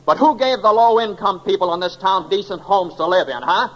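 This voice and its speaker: An actor playing an earnest young small-town man in a 1940s radio drama, folksy and halting, passionate and easily flustered. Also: high-pitched, expressive, fairly bright tone, lo-fi sound. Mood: defiant, impassioned. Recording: old 1940s radio recording, faint noise.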